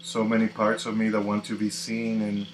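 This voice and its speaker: A man from the Dominican Republic with a Dominican Spanish accent, a native Spanish speaker, hosting a live tarot reading in a slow, reflective, casual way. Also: on the low side, completely flat delivery. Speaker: tarot reader. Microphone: computer mic on a live stream. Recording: echoey room with faint noise.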